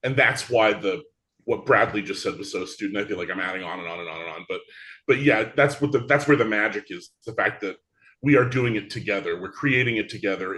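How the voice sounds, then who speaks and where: A man's voice: lowish voice, sharp tone. A man talking casually and reflectively as a guest in an interview about his creative work.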